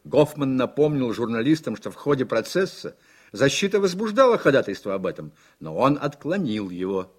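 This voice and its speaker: Expressive male radio drama narrator. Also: mid-range voice, normal speed, slightly rough.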